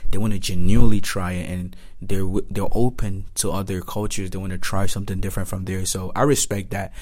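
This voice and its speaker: A man's voice: sits low, level tone, a little fast, a little mellow. A young man from Nigeria with a Nigerian accent, talking earnestly as a student guest in a casual conversation. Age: young adult. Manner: earnest, repeats himself, acts out quotes.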